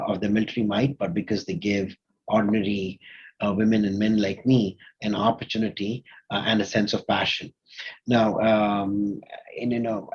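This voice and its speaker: A man's voice: fairly low pitch, a little mellow, husky, dull audio. A man in his forties from India, a company CEO, talking in a casual interview with many fillers and restarts. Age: 40s.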